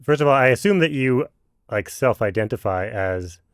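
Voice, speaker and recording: A man hosting a podcast interview, talking casually and haltingly, with lots of restarts. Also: medium pitch, expressive, smooth, no echo, clean studio sound. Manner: halting, many fillers and restarts. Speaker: podcast host.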